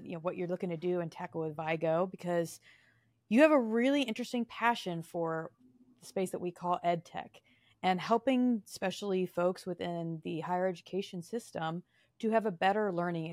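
A woman's voice: on the low side, lively intonation, normal speed. A woman hosting a podcast, reading an upbeat introduction and then chatting casually with her guest. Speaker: podcast host.

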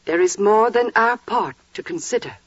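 A woman's voice: mid-range voice, animated, moderate pace, husky, dry recording. An actress playing an earnest, resolute mother in a biblical 1940s radio drama, with solemn, dramatic delivery. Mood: solemn, urgent. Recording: muffled 1940s radio recording.

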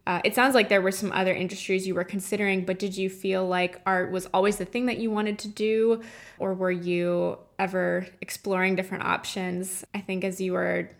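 Woman podcast host, mid-range voice, thinks out loud as she asks stuff. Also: mid-range voice, flat delivery, moderate pace, gravelly. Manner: thoughtful, winding questions with restarts. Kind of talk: interview questions.

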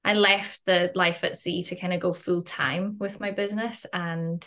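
A woman's voice: fairly low pitch, steady tone, moderate pace, a bit bright. A woman with a Scottish accent, a coach guest speaking casually with many fillers. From Western Isles, Scotland. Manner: chatty, many fillers like "um" and "kind of". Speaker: guest, life purpose coach. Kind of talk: casual interview chat.

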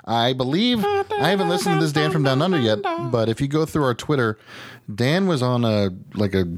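A man's voice: medium pitch, wildly swooping pitch, normal speed, over a noisy crowd. A man hosting a podcast in casual chat, with an offhand, loose and informal way of talking. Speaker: podcast host.

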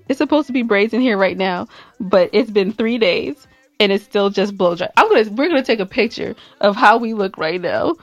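A woman chats as a playful podcast co-host, teasing and joking in a casual way. Her voice sits at a middling pitch. The recording is dry and a little dull, with music underneath. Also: dry recording.

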